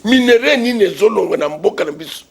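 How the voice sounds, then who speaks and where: Congolese male political pundit, gravelly and husky, emphatic, rhetorical, repetitive. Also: high-pitched, lively intonation, fast. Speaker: political commentator being interviewed. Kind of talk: impassioned political argument in an interview.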